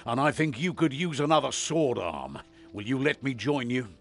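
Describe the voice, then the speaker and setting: An actor playing a weary veteran demon hunter in a fantasy game, with a gruff, wary and intense manner. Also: male voice, mid-range voice, expressive, normal speed. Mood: wary, troubled. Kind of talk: fantasy video game voice acting.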